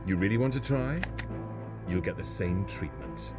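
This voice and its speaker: A man's voice: low voice, normal speed, over music, lightly acted. A man playing a bitter, vengeful stranded gunman in an audio drama, stern and threatening.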